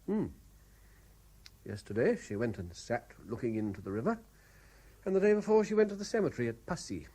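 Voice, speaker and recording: A man playing an earnest, troubled lawyer in a radio play, speaking in a measured, careful way. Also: medium pitch, very animated, dark tone, slightly rough. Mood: guarded. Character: earnest, troubled male lawyer narrating his surveillance case. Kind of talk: radio play acting with diary-like narration. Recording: 1970s radio drama recording.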